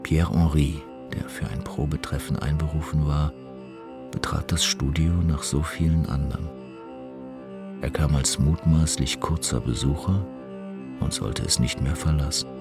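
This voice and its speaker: Male narrator, extremely low voice, formal literary read with music underneath. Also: steady tone. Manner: measured, formal reading. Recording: music playing behind the voice.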